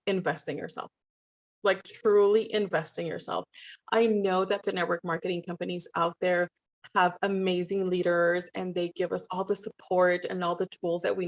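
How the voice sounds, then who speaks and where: A woman's voice: on the low side, poor audio. A woman with a Spanish accent giving encouraging, informal advice as a guest in a conversational interview. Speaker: podcast guest, style coach and network marketer.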